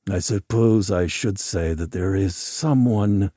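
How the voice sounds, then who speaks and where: A man's voice: low voice, takes it slowly, dark tone, slightly rough, no echo, studio quality, full character voice. A man voicing a tormented, lovesick man in an audiobook, hesitant and drowsy, in a plaintive voice. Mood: weary, tormented. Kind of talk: audiobook character dialogue.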